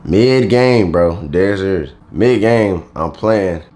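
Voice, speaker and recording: A man's voice: low-pitched, animated, slow, a little mellow. A young man vlogging from his bedroom right after waking up, groggy and rambling in loose slang with lots of fillers. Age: young adult. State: groggy, just woke up. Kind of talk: casual vlog talking to camera. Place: bedroom at home, indoors.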